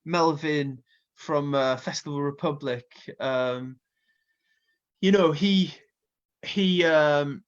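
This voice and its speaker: A Welsh man with a slight cold talks in a loose, rambling way, full of ums and asides. His voice sits on the higher side for a man. The sound is dull and muffled, like lo-fi call audio. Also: takes it slowly, long pauses, clear, resonant tone. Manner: chatty, rambling with many fillers. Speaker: festival co-founder and music booker. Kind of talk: casual interview chat.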